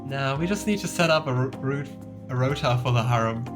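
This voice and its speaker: A woman's voice: rumbling low, fairly quick. A woman chatting casually and playfully as she livestreams a video game. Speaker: streamer playing and voicing a video game. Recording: game music behind the voice.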